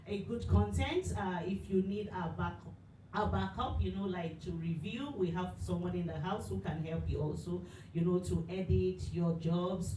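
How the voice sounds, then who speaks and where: Woman, event host at a studio workshop, chatty and filler-heavy, distant mic, echoey room. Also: medium pitch. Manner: warm, chatty, many "you know" fillers. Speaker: studio representative. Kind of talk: welcoming speech at a live event. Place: studio event space with an audience. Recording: roomy, distant, noisy live room.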